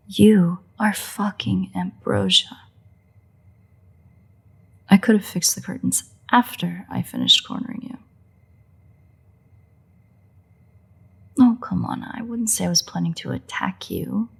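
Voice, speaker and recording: A woman's voice: fairly low pitch, big pitch swings, a little slow, creaky, long pauses, slightly rough, full character voice. A woman voicing a playful, cocky vampire in an intimate audio roleplay, drawling and teasing with wry sighs. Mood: teasing, coaxing.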